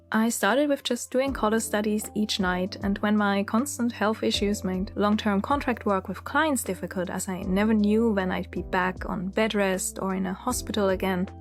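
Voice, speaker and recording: Swiss German woman in her thirties, chatty and candid voice-over, mid-pitched, soft music underneath. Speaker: illustrator and channel host. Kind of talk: reflective voice-over narration.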